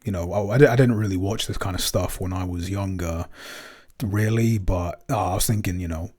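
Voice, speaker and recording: Low-pitched male podcast co-host, casual and chatty, filler-heavy. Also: fairly quick, a little mellow. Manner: chatty, frequent "um" and "you know" fillers. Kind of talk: casual chat.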